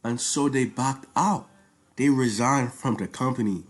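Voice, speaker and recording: A man's voice: mid-range voice, measured pace. A young man with a Nigerian accent giving an informal presentation in a conversational, slangy way, repeating points for emphasis. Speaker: student presenter. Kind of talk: informal spoken project presentation.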